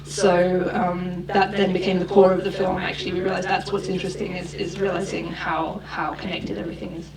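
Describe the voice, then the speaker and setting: A woman's voice: on the low side, little pitch movement, a little fast, hardly any pauses. Filmmaker woman in an echoey festival hall. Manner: thoughtful, many fillers and restarts. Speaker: film director. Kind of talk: festival Q&A answers. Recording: roomy hall, far from the mic, crowd noise.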